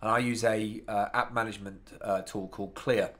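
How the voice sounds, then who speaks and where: A man's voice: lowish voice, steady tone, brisk, fairly bright tone. A man co-hosting an app review show, chatting informally and with enthusiasm about tech. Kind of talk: casual tech review chat.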